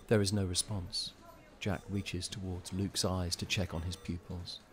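A man's voice: sits low, level tone, measured pace. A man acting as the grave narrator of a scripted audio drama. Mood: grave, measured. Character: grave storyteller of a virus outbreak drama.

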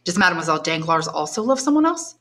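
A woman's voice: fairly low pitch, very animated, fast talker, fairly bright tone. A woman reading aloud and voicing a devoted young man, earnest and reassuring, close to her own voice. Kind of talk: audiobook character voice.

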